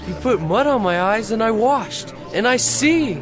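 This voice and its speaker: Casting: bold, astonished healed beggar, higher voice, emphatic Bible drama delivery over clear music. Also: male voice, expressive, a little slow. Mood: earnest. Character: formerly blind beggar, earnest, bold, astonished. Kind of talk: dramatized audio Bible acting.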